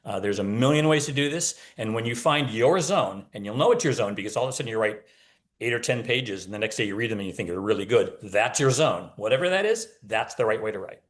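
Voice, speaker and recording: A man's voice: lowish voice, lively intonation, quick pace. A man talking casually as an author guest, wry and opinionated, telling anecdotes and giving advice.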